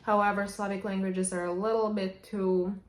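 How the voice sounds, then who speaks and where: A woman's voice: medium pitch, little pitch movement, moderate pace. Woman giving language tips. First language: Serbian. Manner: chatty, opens many sentences with "however". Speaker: language vlogger. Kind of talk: casual advice to camera. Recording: echoey room.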